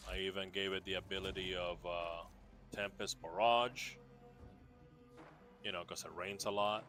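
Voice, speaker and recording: A man's voice: sits low, fairly even intonation, unhurried, sharp tone, soft background music. A man from Puerto Rico casually showing off his game character builds, rambling with lots of fillers and restarts. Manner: rambling, laid-back, many fillers and restarts. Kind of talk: casual game showcase chat.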